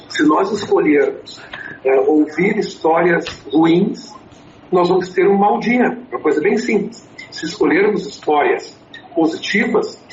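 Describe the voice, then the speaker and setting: A man in his sixties, a native English speaker, answering interview questions about his storytelling consulting with many fillers and restarts. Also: a little high. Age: 60s. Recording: echoey, dull, slightly noisy.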